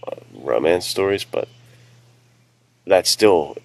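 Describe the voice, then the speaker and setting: A man's voice: low-pitched, lively intonation, slow pace. A man co-hosting a podcast, speaking in a casual, conversational way. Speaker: podcast co-host and writer.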